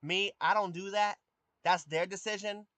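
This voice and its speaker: A young man in his twenties talks casually to his stream viewers. His voice is light and youthful, and it sits a little higher than most men's. He pauses often with ums, and the sound is close and dry. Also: fairly even intonation, bright, forceful tone, dry recording. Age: 20s. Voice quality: youthful voice that has not deepened. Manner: hesitant, many ums and restarts, answers chat questions. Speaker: live streamer.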